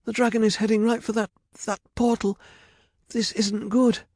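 Man voicing a high-pitched reckless young sorceress. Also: flat delivery, moderate pace, a little mellow, no echo, clean studio sound. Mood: alarmed, commanding. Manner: exclamatory, urgent. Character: reckless, willful young woman sorceress riding a dragon.

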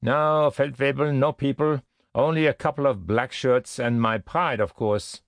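A man playing a haughty, high-pitched SS captain. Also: unhurried, dry recording, clean studio sound, natural voice. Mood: polite. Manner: pompous, over-polite, disdainful. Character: haughty, courteous, wry German SS captain, male villain.

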